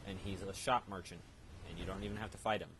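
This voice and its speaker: A man's voice: low-pitched, steady tone, fast talker. A man hosting a gaming video with casual, explanatory commentary and rambling asides. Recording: loud background noise.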